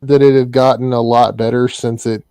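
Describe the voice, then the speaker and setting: A man's voice: medium pitch, little pitch movement, warm tone, clear, resonant tone, no room sound. A man with an Iowa accent, an interview guest chatting casually, with frequent restarts. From Iowa, US Midwest. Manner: halting, restarts often. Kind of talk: casual chat.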